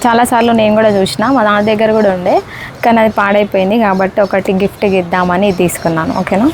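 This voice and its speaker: A woman with a Telangana Telugu accent presents a product in a casual unboxing style. Her voice sits at a medium pitch and she keeps checking in with her listeners as friends. Faint ambient noise runs behind her. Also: flat delivery, fast talker, darkish tone. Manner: repeatedly checks in with listeners, addressing them as friends.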